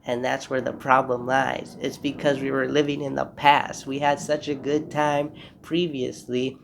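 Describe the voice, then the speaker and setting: A man's voice: mid-range voice, little pitch movement, a little slow, creaky, background noise. A young man hosting a casual solo podcast, stuffy from a cold, talking in a rambling, reflective way. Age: young adult. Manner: rambling, reflective, apologetic asides. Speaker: podcast host. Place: home room.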